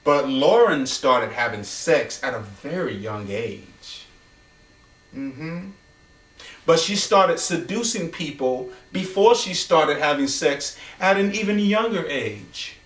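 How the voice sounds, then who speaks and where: A man telling an improvised story, with dramatic, deliberate phrasing and pauses. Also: on the high side, lively intonation, fairly bright tone. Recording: roomy echo, distant from microphone.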